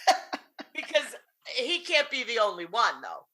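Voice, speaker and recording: A woman's voice: fairly high pitch, very animated, unhurried, bright, forceful tone. A woman hosting a podcast, chatting casually and teasing her guest, laughing often. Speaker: podcast host. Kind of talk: casual chat.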